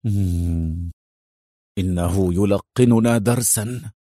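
A man's voice: medium pitch, lively intonation, fairly quick, no room sound, studio quality, close to an everyday voice. A man voicing a bitter, weary and indignant intelligence chief with a terse manner, in a dramatised audiobook.

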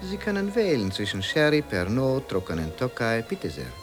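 An actor in a German radio play as a courteous, ghostly Hungarian waiter, polite, measured and bitterly ironic. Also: male voice, mid-range voice, huge pitch swings, over music. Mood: courteous, dry.

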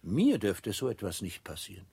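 Radio play role: proud, fussy old coachman, very husky low voice, hugely animated intonation. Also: moderate pace, heavily acted. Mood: indignant, incredulous. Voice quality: husky, breathy. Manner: musing, fussy, incredulous. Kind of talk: absurdist radio play acting.

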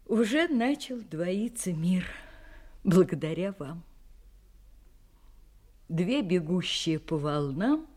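An actress playing a serious, frank young woman in love in an audio drama, speaking in a halting, earnest, sad manner that sinks to a near-whisper. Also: lowish voice, very animated, a little mellow, exaggerated voice. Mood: quiet despair. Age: young adult.

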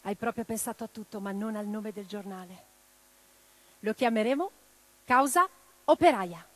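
An actress playing a spirited, passionate young woman revolutionary in a radio play, expressive and theatrical. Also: mid-range voice, normal speed, slight background noise, close to an everyday voice, low-quality recording. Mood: teasing, challenging. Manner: expressive, theatrical, declamatory when roused.

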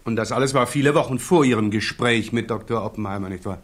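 A methodical examining counsel played by a male actor leads a witness through a hearing in a radio drama. His mid-pitched voice puts formal, orderly questions. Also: fast talker, lightly acted. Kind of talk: radio play acting, hearing interrogation.

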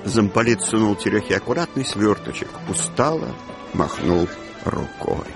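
A man reading scripted literary narration for a radio drama, measured and colloquial in his storytelling. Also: on the low side, big pitch swings, creaky. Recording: music bed behind the voice.

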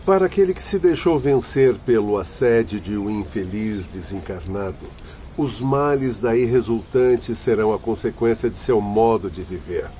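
A man's voice: fairly low pitch, animated, moderate pace, mellow, natural voice. A man acting a grave, scholarly spirit doctor in a radio drama, speaking formally and earnestly with a Brazilian accent. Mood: grave, admonishing. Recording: dull lo-fi recording, background noise.

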